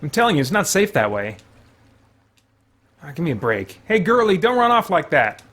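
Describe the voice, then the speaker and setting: A man's voice: a little high, big pitch swings, moderate pace, a bit bright, husky, heavily acted. Man voicing a brash, cocky young game hero. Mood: cocky, teasing. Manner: teasing, brash.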